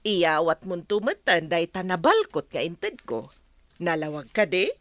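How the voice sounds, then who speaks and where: A sly, bossy woman with an Ilocano accent, her pitch swinging dramatically in a very dry, close sound. She gives orders like a schemer in a radio comedy-drama. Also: sing-song, a little slow, a bit bright, dry recording. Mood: coaxing, instructing. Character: secretive, bossy best friend handing out shady deliveries.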